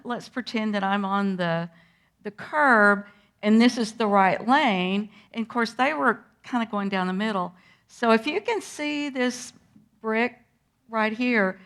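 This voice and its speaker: An older woman guest, telling a vivid personal story to schoolchildren in an animated way. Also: medium pitch. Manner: lively, animated storyteller who acts out moments. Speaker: interview guest recalling a historic day.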